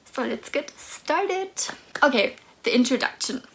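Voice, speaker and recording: A woman's voice: high-pitched, expressive, a little slow, a little hiss or hum. A woman in her twenties from Austria, with a German accent, talking nervously and hesitantly in a casual book vlog. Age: 20s. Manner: nervous, hesitant, soft-spoken. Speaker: book vlogger.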